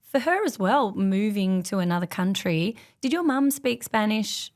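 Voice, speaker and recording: A woman hosting a conversation. She asks questions in an encouraging, curious way, and her voice sits at a middle pitch. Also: expressive, a little slow. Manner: inquisitive, encouraging interviewer. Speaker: host. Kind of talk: interview host.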